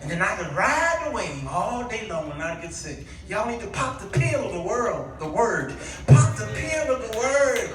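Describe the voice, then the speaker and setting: Animated high-pitched male preacher in echoing hall. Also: normal speed, music in the background. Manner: dramatic, repeats punchlines, acts out dialogue. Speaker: guest preacher. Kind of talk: animated sermon with repetition and call-and-response. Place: church sanctuary before a responding congregation. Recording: very echoey hall, distant microphone.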